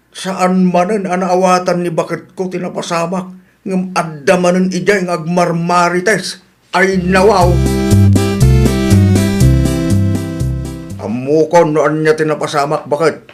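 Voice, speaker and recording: A man's voice: sits high, fairly even intonation, a little slow, over loud music. A man with an Ilocano accent playing an earnest, aggrieved older businessman in a radio drama, always questioning.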